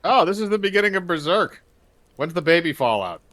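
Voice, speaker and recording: A man's voice: high-pitched, very animated, a little fast, fairly bright tone, a little hiss or hum. A man chatting casually over a video game as a co-commentator, making wry, irreverent remarks with some swearing. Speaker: gameplay co-commentator.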